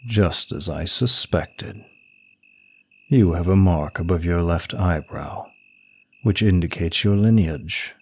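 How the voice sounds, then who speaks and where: Man acting as demon prince of lust, low-pitched, slow, seductive, arrogant, suave, taunting, intimate ASMR roleplay. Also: a little mellow, slightly rough, heavily acted. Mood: smug, probing. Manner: smug, taunting, suave, deliberate.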